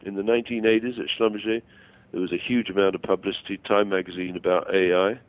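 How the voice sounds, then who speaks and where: Older male phone-in guest, low-pitched, halting yet self-assured, narrow tinny telephone sound. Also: level tone. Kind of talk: conversational explanation and opinion over the phone.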